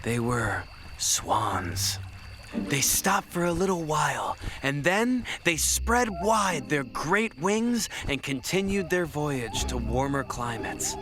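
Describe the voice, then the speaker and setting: A man's voice: fairly high pitch, very animated, takes it slowly. A man reading a scripted children's bedtime story as the narrator, with music behind him.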